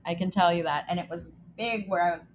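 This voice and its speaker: A woman's voice: fairly low pitch, fast, slight background noise. A woman from El Salvador co-hosting a podcast in a casual, chatty way, reading short news items and reacting to them. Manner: upbeat, chatty, reads news items aloud. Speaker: podcast co-host.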